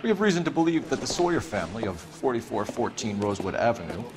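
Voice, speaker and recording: A man acts out a stern police detective in a game cutscene. His mid-pitched voice moves briskly up and down as he fires clipped, businesslike questions, with music playing underneath. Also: very animated, natural voice. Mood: probing, pointed. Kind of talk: video game cutscene acting. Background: background music.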